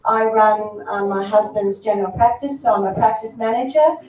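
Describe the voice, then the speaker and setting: A woman's voice: mid-range voice, fairly even intonation, moderate pace, darkish tone. A middle-aged woman, a practice manager and teacher, introducing herself plainly. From Australia. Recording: very echoey room, distant mic.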